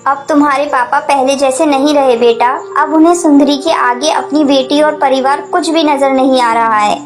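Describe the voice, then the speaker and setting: A woman voicing a gentle mother sparrow. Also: sits high, normal speed, close to an everyday voice. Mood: sad. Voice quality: earnest, emotional. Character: kind, simple mother sparrow, gentle and trusting. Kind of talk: dubbed cartoon acting. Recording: music playing behind.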